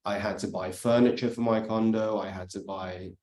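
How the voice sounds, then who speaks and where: British man, conference speaker, explanatory delivery, slightly low voice, roomy sound. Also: steady tone, moderate pace, a little mellow. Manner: explanatory, frequent "let's say" and "for instance". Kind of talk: presentation talk.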